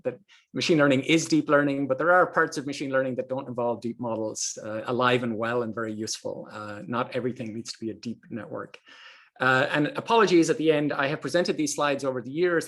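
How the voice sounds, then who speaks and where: An Irish man in his sixties giving an academic overview lecture, measured and often pausing with "uh" between phrases. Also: medium pitch, fairly bright tone. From Ireland. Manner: measured, frequent hesitations.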